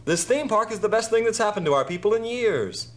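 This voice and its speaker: A man's voice: high voice, lively intonation, a little fast, fairly bright tone. A man playing a harried, sarcastic theme park manager in an audio drama, talking with exasperated emphasis. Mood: pleading frustration.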